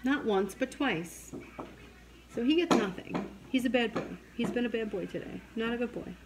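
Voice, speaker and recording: A woman's voice: on the high side, expressive, moderate pace, very noisy. A woman in her forties co-hosting a casual late-night livestream, telling stories in a chatty way, laughing often and filling her talk with "like", at a dinner table at home. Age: 40s. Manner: chatty storyteller, laughs often, says "like" a lot. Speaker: co-host of a livestream show.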